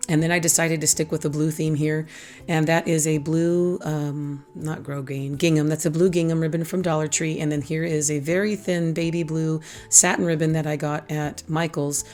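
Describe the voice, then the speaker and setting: A hoarse, raspy-voiced middle-aged woman hosting a casual craft tutorial, chatty and teasing herself as she explains each step. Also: low voice, little pitch movement, normal speed, a little mellow, soft background music. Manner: chatty, self-teasing, laughs at her own jokes. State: hoarse, losing her voice. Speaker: craft channel host.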